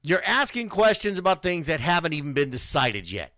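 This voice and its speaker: A man's voice: a little high, sing-song, fairly quick, sharp tone, no echo, clean studio sound. A man hosting an informal youth sports radio show, talking bluntly and emphatically and repeating phrases for stress. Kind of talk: informal sports talk monologue.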